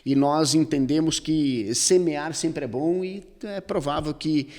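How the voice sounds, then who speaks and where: A middle-aged man, co-hosting a podcast and speaking earnestly and in a measured way. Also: fairly high pitch, a little mellow. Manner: earnest, measured, uses metaphors of sowing. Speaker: podcast co-host. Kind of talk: casual podcast conversation. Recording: long hall reverb.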